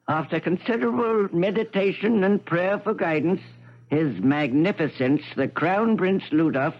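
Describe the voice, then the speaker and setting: An actor playing a small, stern, pious Himalayan royal counselor, formal and pompous, in a 1970s radio drama. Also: male voice, fairly high pitch. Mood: formal, reverent. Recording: muffled 1970s radio broadcast.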